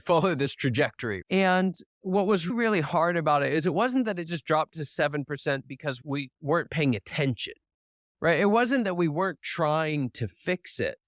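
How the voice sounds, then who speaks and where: A man's voice: a little high, no echo, studio quality. An American man telling his business story as a guest in an interview, earnest and candid. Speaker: guest, business founder and coach.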